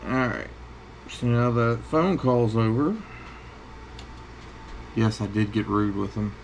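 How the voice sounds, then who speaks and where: A man's voice: lowish voice, takes it slowly. A man giving casual, hands-on how-to commentary in a plain-spoken, offhand way at a workbench. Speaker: scrapper demonstrating a teardown. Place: small cluttered indoor workshop at a bench. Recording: clear workshop tool and handling noise.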